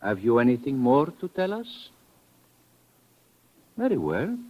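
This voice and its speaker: Formal, deliberate Latin police officer, Spanish accent, lively intonation, dull vintage radio recording. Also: male voice, medium pitch. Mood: measured. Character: formal, probing Latin American police lieutenant. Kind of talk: old-time radio drama acting.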